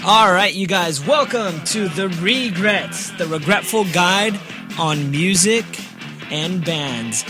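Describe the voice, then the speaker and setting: A man's voice: sits high, animated, slow pace, over music. A man in his twenties hosting a podcast in a slangy, joking, casual chat style. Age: 20s. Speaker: podcast host.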